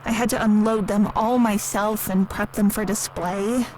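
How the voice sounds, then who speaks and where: An actress playing a timid, lonely, naive museum worker in an audio drama, speaking hesitantly and earnestly in a recorded interview. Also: female voice, medium pitch, steady tone, moderate pace, close to an everyday voice, low-quality recording. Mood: hushed, recounting. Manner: hesitant, soft-spoken, earnest. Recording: clearly audible background noise.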